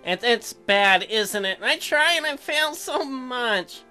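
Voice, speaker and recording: A woman's voice: on the high side, animated, bright, forceful tone, full character voice. A woman voicing an earnest, apologetic young newlywed husband who is sweet on his wife, reading visual novel dialogue. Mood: sheepish, hurt. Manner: earnest, halting, self-deprecating. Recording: music behind the voice.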